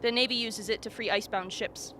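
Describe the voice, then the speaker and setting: An actress as a knowing polar scientist who explains things plainly. Her voice is bright and a little high, acted for radio, with slight noise behind. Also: steady tone, fairly quick. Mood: wry, matter-of-fact. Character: knowing, matter-of-fact female Antarctic expedition scientist. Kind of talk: radio drama acting. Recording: faint background noise.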